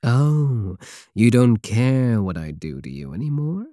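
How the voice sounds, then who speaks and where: Male actor as a cocky space pirate king, mellow, expressive pitch, teasing endearments, possessive, intimate ASMR roleplay. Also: no room sound, clean studio sound. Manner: slow, teasing, purring endearments, sardonic.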